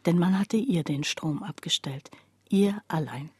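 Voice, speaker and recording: A woman's voice: lowish voice, unhurried, breathy edge, dry recording, clean studio sound. A woman narrating a literary radio drama monologue, confiding and precise, as someone wryly recounting a poor friend's troubles. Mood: grave, wry.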